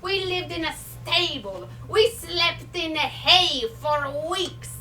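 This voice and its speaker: A woman playing a feisty, stubborn elderly Italian immigrant grandmother in a comic stage monologue, speaking blunt, halting broken English with an Italian accent. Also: extremely high pitch, expressive, pushed and bright, full character voice. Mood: storytelling. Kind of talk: live comedic stage monologue. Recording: distant mic, noisy live room.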